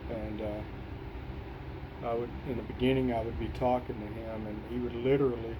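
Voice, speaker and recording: A middle-aged man with an East Texas accent, reflecting slowly on his work in a halting way, with many fillers. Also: medium pitch, level tone, dull audio, background noise. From East Texas, USA. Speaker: city senior inspector. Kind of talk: reflective personal recording answering prompts.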